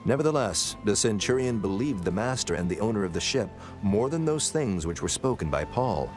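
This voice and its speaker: A man narrating scripture from a script in a formal, solemn manner. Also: low voice. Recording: prominent music bed under voice.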